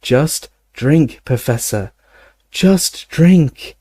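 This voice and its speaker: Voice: medium pitch, expressive, extremely slow, dark tone, dry recording, pitched above the performer's own voice. A storyteller voicing a desperate young male wizard, anxious and urgently coaxing, as in an audiobook.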